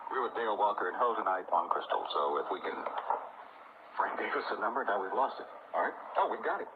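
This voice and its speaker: A man's voice: on the low side, fast talker. A man hosting a live radio call-in show. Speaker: radio host. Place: radio studio. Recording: tinny, noisy old radio broadcast.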